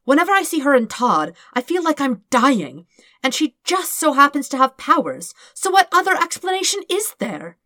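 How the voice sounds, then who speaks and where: Woman voicing an excitable, theatrically panicky man, swooping widely. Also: high voice, normal speed, no echo, clean studio sound, voice pushed up, heavily acted. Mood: frantic, insistent. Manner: theatrical, emphatic, swinging between alarm and sheepishness. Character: excitable, dramatic, flustered man in love, panicky and earnest. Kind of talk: fan fiction audiobook reading.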